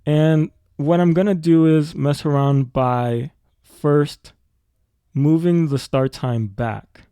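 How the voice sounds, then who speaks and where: A man's voice: on the high side, fairly even intonation, slow, warm tone, clear, resonant tone, no room sound, clean studio sound. A man giving a casual tutorial as a music production teacher.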